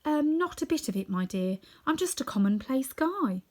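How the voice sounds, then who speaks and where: Little old fraud of a wizard played by a woman, high, meek and apologetic, for a storybook. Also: sing-song, moderate pace, a little mellow. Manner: trembling, pleading, apologetic. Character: meek, frightened little old man, exposed humbug wizard.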